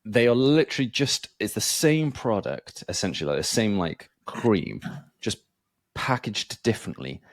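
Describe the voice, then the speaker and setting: Podcast host, male, very deep, loose rambling delivery full of fillers, dry mic. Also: animated, moderate pace, dry recording. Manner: rambling, filler-heavy, many restarts. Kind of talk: casual chat.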